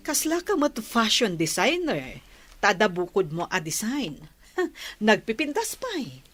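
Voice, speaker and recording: An actress plays a kindly, well-off woman in a radio drama. She speaks in an encouraging, reassuring manner at an ordinary female pitch. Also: sing-song, a little slow, fairly bright tone. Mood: warm, encouraging. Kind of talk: Ilocano radio drama acting.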